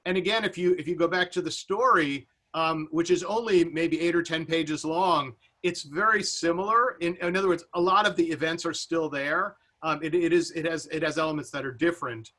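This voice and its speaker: Bright, higher-pitched man thinking aloud. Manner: halting, searching delivery with repeated words. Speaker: panelist. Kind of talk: unscripted panel discussion.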